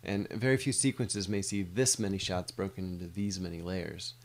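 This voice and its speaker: A man's voice: sits low, fairly even intonation, normal speed. A middle-aged American man, an animator, giving a scripted presentation of a project.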